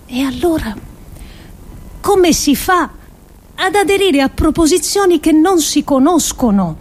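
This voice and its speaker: High-voiced woman delivering a radio monologue. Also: dark tone. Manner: rhetorical, deliberate, with emphatic pauses. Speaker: actress reading an adapted essay on radio. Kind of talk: dramatic scripted monologue for radio.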